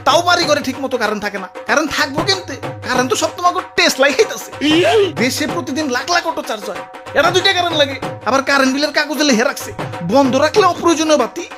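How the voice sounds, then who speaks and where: A woman plays an exasperated cartoon motorbike rider with a Bangladeshi accent. The voice is high and very quick, scolding in colloquial rants. Loud music runs behind it. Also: close to an everyday voice. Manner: rapid scolding, complaining, colloquial. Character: exasperated, ranting motorbike rider in city traffic. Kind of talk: comic cartoon dubbing.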